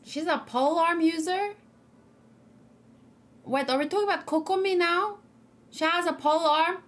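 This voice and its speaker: A woman's voice: extremely high pitch, normal speed, a bit bright. A woman streaming a let's play, giving casual, chatty commentary and musing aloud as she goes. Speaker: gaming streamer.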